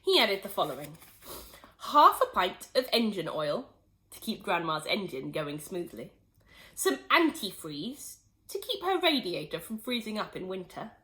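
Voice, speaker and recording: A woman teacher reading a children's story aloud in an expressive, animated way. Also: medium pitch, measured pace.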